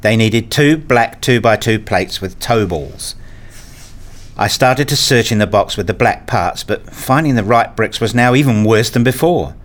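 A man reading a scripted first-person story as narrator, in a measured, introspective manner. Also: lowish voice, expressive.

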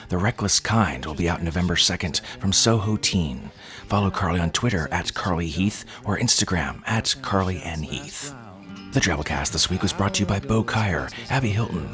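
A man hosting a fiction podcast, reading a scripted sign-off. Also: sits low. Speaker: podcast host. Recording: music playing behind the voice.

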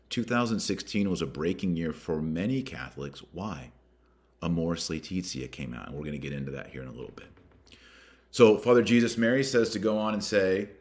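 A man hosting a religious commentary podcast, reading aloud and commenting in an emphatic, deliberate way with rhetorical questions. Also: deep voice. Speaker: podcast host.

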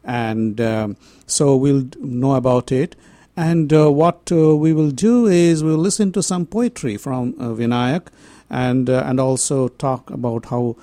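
A man's voice: mid-range voice, measured pace, dark tone, no echo. A man hosting a radio studio interview, speaking politely and hesitantly with frequent fillers. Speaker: radio host.

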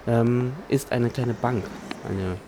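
A man's voice: medium pitch, steady tone, slow, noisy background. A man narrating an improvised scene setup, hesitant and often searching for his words.

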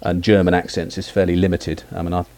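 Deep-voiced British man, quick and halting. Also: level tone, darkish tone. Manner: hesitant, many restarts and fillers. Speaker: history podcaster, guest. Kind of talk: casual interview over a call. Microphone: remote call audio.

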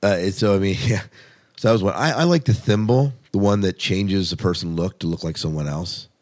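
A man with a low voice hosts a casual podcast chat. He talks informally, reacts with open enthusiasm and steers the talk with questions. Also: brisk, a little mellow. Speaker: podcast host. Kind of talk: casual TV show discussion.

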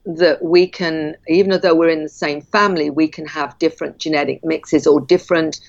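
Low-voiced woman guest on a muffled line. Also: fairly even intonation, creaky. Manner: measured, explaining with frequent ums. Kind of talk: explanatory interview talk.

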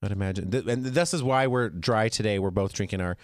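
A hoarse man hosting a studio talk show, chatting casually with a guest in a chatty, self-deprecating way. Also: fairly low pitch, brisk, no echo. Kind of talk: casual chat.

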